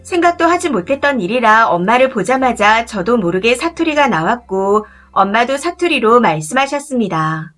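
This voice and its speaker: A woman narrating a first-person story in a calm, even scripted read. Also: fairly high pitch, normal speed, few pauses. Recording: soft music behind the voice. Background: faint background music.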